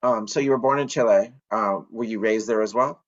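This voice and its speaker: Low-voiced male interviewer, lo-fi audio. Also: fairly quick. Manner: frequent soft back-channel "mm-hmm"s, hesitant questions. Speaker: podcast host, interviewer. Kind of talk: casual interview questions.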